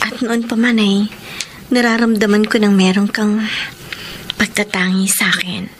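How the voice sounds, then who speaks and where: A woman with a Filipino accent playing a shy, grateful young housemaid in a radio drama, speaking earnestly and with measured delivery. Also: medium pitch, little pitch movement, a little hiss or hum, natural voice. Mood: earnest, tender. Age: young adult.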